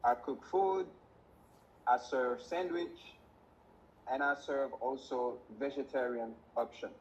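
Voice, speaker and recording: A man's voice: medium pitch, slow pace. A man answering an interview as a kitchen worker, laying things out in simple step-by-step sentences. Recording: dull lo-fi sound, faint noise.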